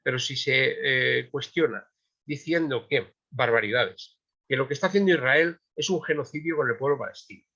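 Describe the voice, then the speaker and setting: A man's voice: mid-range voice, moderate pace, pushed and bright, slightly rough, dull audio. A man making an emphatic argument as a guest in an online panel, with many fillers.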